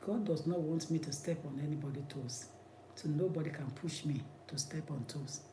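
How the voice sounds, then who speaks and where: A man's voice: a little high, moderate pace, noisy background. Emphatic Nigerian-accented male lawyer. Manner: emphatic, repetitive, preacherly. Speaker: immigration lawyer. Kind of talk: impassioned commentary.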